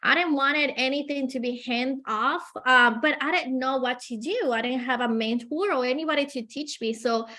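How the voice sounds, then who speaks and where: A woman from Colombia with a Colombian Spanish accent, Spanish her first language, telling her story as an interview guest in an energetic, earnest way with frequent fillers. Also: sits high, moderate pace, few pauses.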